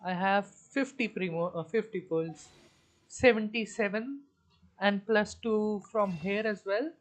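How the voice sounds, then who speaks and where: A woman live-streaming a game, chatting away as she plays. She thinks aloud, restarts her sentences while she counts things up, and keeps turning to her viewers for input. Her pitch sits in an ordinary middle range. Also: measured pace. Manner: thinks aloud, talks to chat. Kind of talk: casual live-stream commentary.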